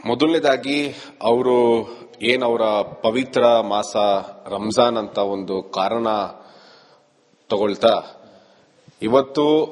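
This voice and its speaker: A man's voice: on the low side, in a large hall. A man with a Karnataka accent giving an emphatic, accusatory statement to a reporter, repeating his phrases. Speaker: activist leader being interviewed. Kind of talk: spoken statement to a news reporter.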